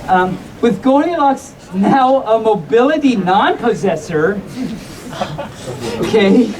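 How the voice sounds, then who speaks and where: A woman's voice: a little high, lively intonation, slow, a crowd in the background. A woman reading comic bedtime stories to a live audience, playful and often interrupting herself with chatty asides. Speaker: live storyteller. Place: hotel convention room before a live audience. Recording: distant mic, noisy audience around.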